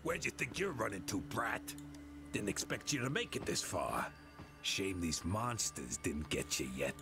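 An actor playing a fierce bandit boss in a video game, with a taunting, menacing manner. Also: male voice, lowish voice, big pitch swings, unhurried, pushed and bright, faint music behind, exaggerated voice. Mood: sneering. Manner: menacing, sneering.

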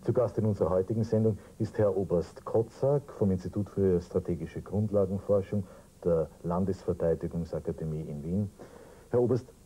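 Man, middle-aged, deep voice, dark husky tone, brisk news host. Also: rough recording. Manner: brisk, conversational questioning. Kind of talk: studio interview. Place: TV studio.

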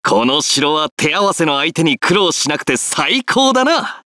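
An actor playing a rough, brash but friendly young warrior lord in a video game, casual and confident. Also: male voice, a little high, sing-song, quick pace, pushed and bright, no room sound, studio quality. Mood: cocky, casual. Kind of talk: Japanese video game character voice clips.

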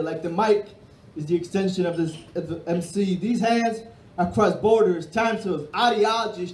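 A man from Peru with a notably high voice delivers spoken-word poetry in rhythmic, emphatic bursts. The sound is roomy, carried from a stage mic through a bar hall. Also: darkish tone. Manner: rhythmic, emphatic delivery with sweeping hand gestures. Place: dark stage of a bar and music hall, indoors. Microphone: stand microphone on stage.

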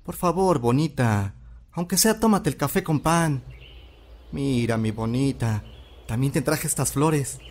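A man's voice: medium pitch, expressive, measured pace, a little mellow, slight background noise, natural voice. A young man with a Mexican accent playing a lovestruck rural suitor in an audio drama. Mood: tender, coaxing.

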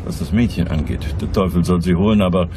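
An actor playing a worried, discreet wealthy husband in a crime radio play, insistent yet hesitant. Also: male voice, deep voice, fast talker, noisy background, natural voice.

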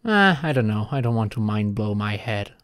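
A man's voice: on the low side, sing-song, moderate pace, fairly bright tone, smooth, no room sound, studio quality. A man in a casual roleplay chat, speaking in a playful, teasing manner.

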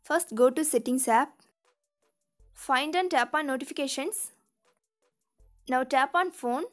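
A woman's voice: high-pitched, measured pace, fairly bright tone. A woman narrating a scripted step-by-step tutorial as the channel's host. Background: background music.

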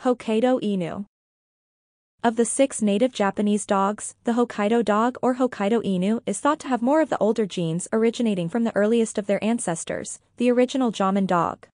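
A woman reading a scripted educational podcast narration in a measured, formal manner. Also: fairly high pitch, a little mellow, dry recording, clean studio sound.

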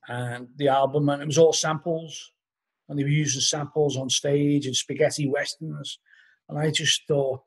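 A man's voice: fairly high pitch, breathy edge. A middle-aged man with a Liverpool accent, a podcast guest telling rambling anecdotes packed with "you know", talking over a video call from home. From Liverpool, England. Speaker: podcast guest, band vocalist. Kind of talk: casual storytelling interview. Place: living room at home. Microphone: laptop webcam on a video call.

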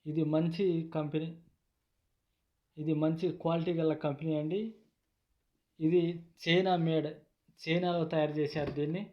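A man gives an informal product explainer in a deliberate, repetitive way, phrase by phrase. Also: on the high side, level tone. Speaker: YouTube product reviewer. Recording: dull lo-fi sound.

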